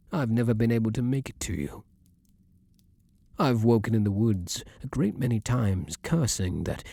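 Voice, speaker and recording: A man playing a formal, tender vampire king in an intimate ASMR roleplay, speaking in measured, old-fashioned courtly phrases. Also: fairly low pitch, gravelly, dark tone, slightly rough, no room sound, studio quality, exaggerated voice. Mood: solemn, confiding.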